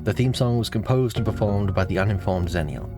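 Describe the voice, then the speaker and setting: Male credits announcer, lowish register, scripted read with music clearly underneath. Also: brisk.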